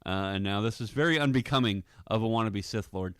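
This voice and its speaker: A man's voice: lowish voice, fast, clean tone, dry recording, clean studio sound. A man giving casual, amused and easygoing commentary as an audio drama director on his vlog.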